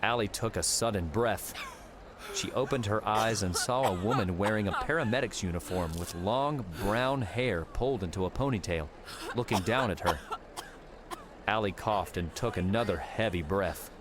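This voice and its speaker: A man's voice: on the low side, moderate pace, heavy background noise. A man reading an audiobook in a dramatic storytelling style. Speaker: audiobook narrator. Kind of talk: dramatic scripted narration. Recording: music under the voice. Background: music.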